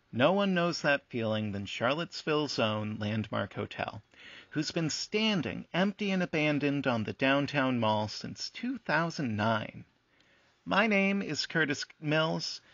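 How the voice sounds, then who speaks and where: An actor playing a calm, sympathetic radio host who interviews buildings, speaking in a measured, understated scripted style. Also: male voice, mid-range voice, no echo, highs cut off, heavily acted.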